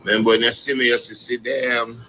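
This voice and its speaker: A man with a Jamaican accent giving relaxed, rolling shout-outs to fans in casual patois on a live stream. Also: mid-range voice, brisk, slight background noise.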